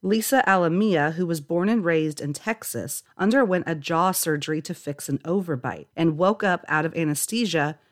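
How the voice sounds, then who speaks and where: A woman reading a scripted documentary narration in an even, informative way. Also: deep voice, normal speed, hardly any pauses.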